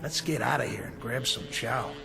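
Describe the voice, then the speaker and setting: A man's voice: medium pitch, normal speed, creaky, music in the background. An actor playing an easygoing, jovial working man who talks colloquially in a radio drama.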